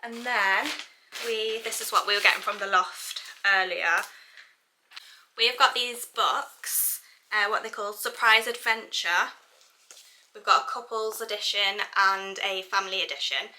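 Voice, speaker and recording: Young British female family vlogger, very bright tone, mid pitch, chatty and informal. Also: a little slow, poor audio. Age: young adult. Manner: chatty, informal, thinking aloud. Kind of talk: casual vlog chat.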